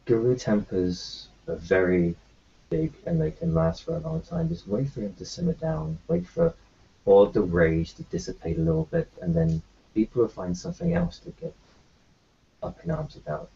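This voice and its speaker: Male roleplayer, gravelly and lively in pitch, halting and reassuring; muffled home recording. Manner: halting, reassuring, many restarts. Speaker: tabletop roleplayer. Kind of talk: in-character roleplay chat.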